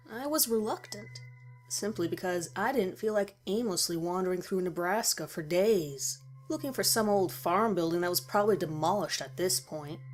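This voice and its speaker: A woman reading a first-person horror story as a scripted narration. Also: medium pitch. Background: music.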